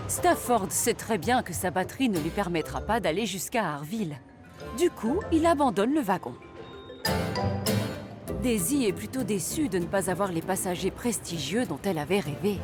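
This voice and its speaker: A woman plays a lively storyteller for a children's cartoon. The narration is scripted and expressive, with plenty of melodic movement over prominent music. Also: medium pitch, moderate pace, lightly acted. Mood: storytelling.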